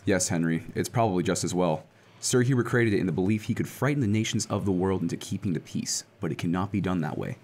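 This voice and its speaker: A man's voice: low voice, fast, darkish tone. An actor playing a confident, kindly heroic man in an old-time radio serial. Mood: earnest, resolute.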